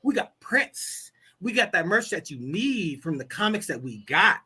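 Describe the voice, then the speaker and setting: A man co-hosting a casual geek talk show, animated as he wraps up and plugs merch. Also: sits high, normal speed, breathy edge. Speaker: co-host. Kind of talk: casual podcast chat.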